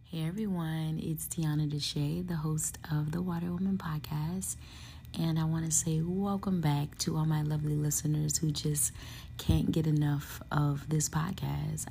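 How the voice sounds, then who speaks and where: A young woman hosting her podcast from a hotel room, hoarse with a soft raspy voice, chatting casually and laughing now and then. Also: low voice, a little hiss or hum. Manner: relaxed and chatty, laughs often, slangy asides. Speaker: podcast host. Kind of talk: casual solo podcast chat.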